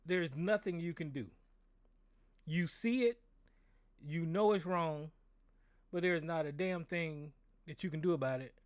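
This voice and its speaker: A hoarse American man, a show host, ranting angrily and profanely, repeating himself and stumbling over words. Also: fairly high pitch, measured pace, long pauses, no room sound, studio quality. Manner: angry, profane, repetitive. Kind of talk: political rant on a call-in show.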